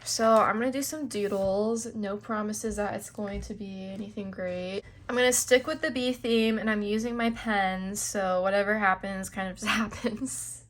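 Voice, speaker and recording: A woman's voice: medium pitch, steady tone, normal speed, few pauses. A young woman, a little stuffed up, chatting casually through a planner flip-through with fillers and small laughs. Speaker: planner video creator.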